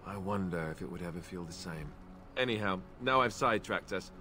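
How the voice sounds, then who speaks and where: An actor playing a wry, earnest young ex-templar warrior in a fantasy game, talking in a measured, thoughtful way. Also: male voice, sits low, pushed and bright, a little hiss or hum. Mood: wistful. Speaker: companion character in a fantasy role-playing game. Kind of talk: scripted game dialogue.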